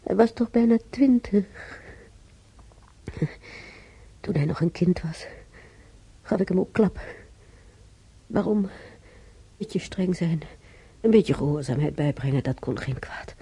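Old mother full of regret, very dark raspy voice, halting radio play monologue. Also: lowish voice, no echo, heavily acted. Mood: wistful, brooding. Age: older. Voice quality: husky, creaky. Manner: halting, brooding, repeating herself. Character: regretful old mother remembering beating her stubborn son.

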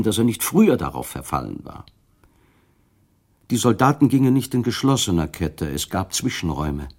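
Radio play narrator, man, lowish voice, measured, expressive literary delivery. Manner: measured and expressive, rising with the drama.

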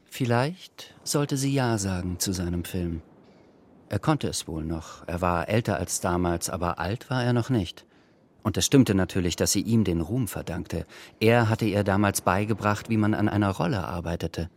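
A man narrating a literary radio drama in a measured voice. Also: deep voice.

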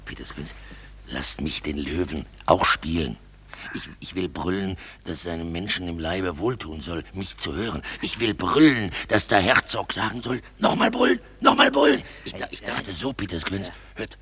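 A man's voice: low voice, very animated, normal speed, fairly bright tone, full character voice. An actor playing an eager, boastful craftsman in a classic radio play, stammering and dithering.

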